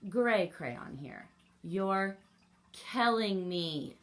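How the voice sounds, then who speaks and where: A woman voicing a weary, exasperated gray crayon in a children's storybook, with plaintive, drawn-out complaining. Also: mid-range voice, expressive, fairly bright tone. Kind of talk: storybook read-aloud for children.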